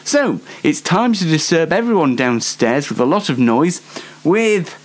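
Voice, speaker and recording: A man's voice: a little high, sing-song, unhurried. Guy doing his own casual comedy show, rambly and unsure, self-mocking, voice goes up and down loads. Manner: hesitant, rambling, self-deprecating. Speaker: solo show host. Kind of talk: casual comedic monologue to audience.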